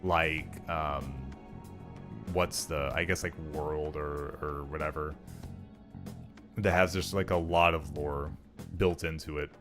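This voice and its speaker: Low-voiced rambling male streamer. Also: steady tone, takes it slowly, long pauses, music in the background. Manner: rambling, heavy on "like" fillers. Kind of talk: casual livestream chat.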